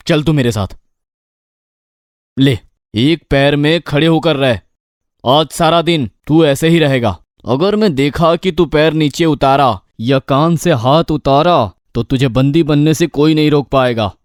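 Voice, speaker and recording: A man acts out a bullying, crafty cartoon master. His voice is a little high and he speaks slowly, leaning on his threats with gloating emphasis. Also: no room sound, clean studio sound, close to an everyday voice. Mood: stern, threatening. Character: scheming, bullying lazy husband, a barber posing as magician master. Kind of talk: Hindi cartoon story acting.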